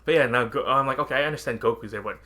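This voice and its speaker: A man podcast host chatting casually about video games and anime, animated and swearing freely, often acting out his own reactions. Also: medium pitch, racing. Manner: animated, profane, frequently quoting himself.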